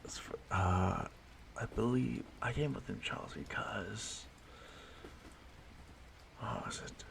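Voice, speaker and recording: A man playing an ancient immortal stalker in an audio roleplay, softly menacing yet courteous and wistful, musing haltingly in a gravelly murmur that often drops to a whisper. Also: fairly low pitch, slight background noise, full character voice. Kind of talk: slow intimate audio roleplay monologue.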